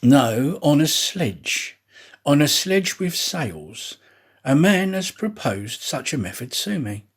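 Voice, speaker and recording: A man voicing an intent, probing detective in an audiobook, with deliberate, pointed questions. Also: on the high side, big pitch swings, husky, voice pushed up, full character voice. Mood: urgent, conspiratorial. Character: intent, probing male detective pressing a proposal.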